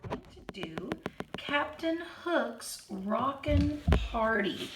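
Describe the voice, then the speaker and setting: A hoarse woman who keeps clearing her throat, patiently teaching a children's keyboard lesson and counting beats aloud. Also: on the high side, very animated, very slow, heavy background noise. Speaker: piano teacher. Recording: roomy, from a distance. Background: keyboard playing.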